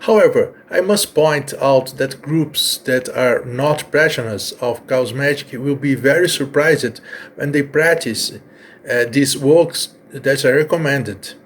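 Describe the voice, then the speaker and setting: Male host, Brazilian Portuguese accent, Portuguese native, earnest and halting; faint background noise. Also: medium pitch. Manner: earnest, slightly halting with fillers. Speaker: podcast host. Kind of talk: earnest personal message.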